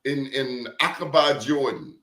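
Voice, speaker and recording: A man's voice: medium pitch, a little slow, fairly bright tone, reverberant. A man hosting an interview show, telling stories in an emphatic, preachy way. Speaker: show host. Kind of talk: casual interview talk.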